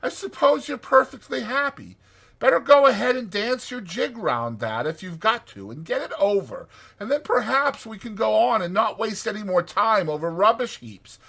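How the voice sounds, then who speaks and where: A man's voice: high voice, expressive, normal speed, gravelly, pushed and bright, voice pushed up, heavily acted. A man voicing a naive, fussy male mole from a storybook, wordy and exclamatory in his delivery. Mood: sarcastic, pettish. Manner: wordy, indignant or gushing, exclamatory. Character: naive, fussy male mole, pettish yet easily amazed.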